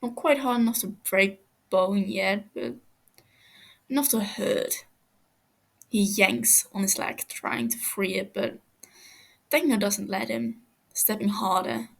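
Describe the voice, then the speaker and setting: A woman narrates a story at a slow pace. Her voice is husky with heavy creak, and she moves haltingly from phrase to phrase. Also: mid-range voice. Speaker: fan fiction reader.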